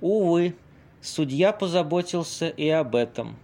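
An actor in an amateur audio play playing Casanova as a worldly, theatrical Venetian seducer who tells things with weighty pauses. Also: male voice, on the high side, expressive, warm tone. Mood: worldly, dramatic storytelling. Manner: dramatic storytelling with weighty pauses.

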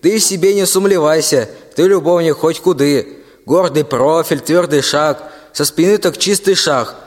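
Fawning, scheming general played by a young man, highish voice. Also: measured pace. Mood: flattering. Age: young adult. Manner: fawning folksy rhymed reporting. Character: sycophantic, dim, scheming general and minister. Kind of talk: student radio play of verse fairy tale. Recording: long hall reverb.

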